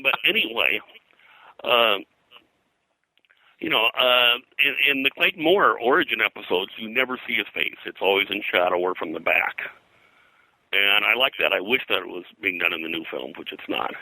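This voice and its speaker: Older man, very sharp bright tone, dry close sound, digressive storytelling, hesitant phrasing. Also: on the low side, normal speed, no echo, low-quality recording. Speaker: pop culture historian, interview guest. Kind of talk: anecdotal interview talk.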